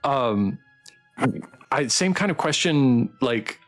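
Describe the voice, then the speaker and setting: Man, medium pitch, casual interview host, effusive, encouraging, halting rephrased questions, soft music behind. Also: lively intonation, low-quality recording. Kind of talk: casual livestream developer interview. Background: background music.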